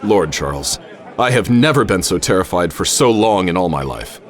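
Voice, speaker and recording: An actor playing a witty, grandiose American professor and adventurer, speaking in flowery, theatrical phrases in a radio drama. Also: male voice, low-pitched, animated, normal speed, a faint crowd. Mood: weary relief. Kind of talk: radio drama acting and first-person narration.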